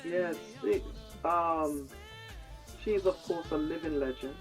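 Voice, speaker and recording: A woman with a British-tinged Jamaican accent, chatting as an interview guest in a studio, soft-spoken and halting, with many fillers and easy laughter. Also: deep voice, soft background music. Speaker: reggae singer, guest. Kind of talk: casual interview chat. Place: music studio.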